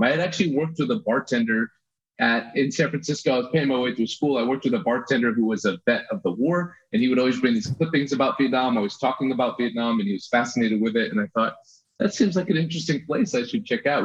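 An American man in his thirties, a photographer guest telling his story in a relaxed chat with frequent restarts. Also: medium pitch, slightly rough. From USA. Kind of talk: casual interview chat. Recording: dull lo-fi audio.

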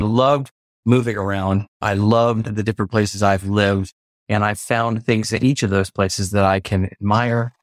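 A man's voice: lowish voice, no room sound, clean studio sound. A man from the US East Coast, a podcast guest, talking earnestly and reflectively in a casual interview, stopping to add clarifications. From East Coast of the United States. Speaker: podcast guest, culture consultant.

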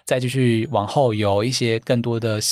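A man's voice: mid-range voice, steady tone, darkish tone, no echo. A man, a podcast guest telling stories about the dating events he runs, chatty and full of filler words. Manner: brisk, chatty, many fillers and repeated "对". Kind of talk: podcast interview, storytelling.

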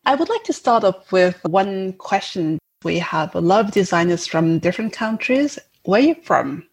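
A woman's voice: mid-range voice, sing-song, moderate pace, husky. A woman hosting an interview, reading a scripted introduction of her guest and then asking questions. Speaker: interview host.

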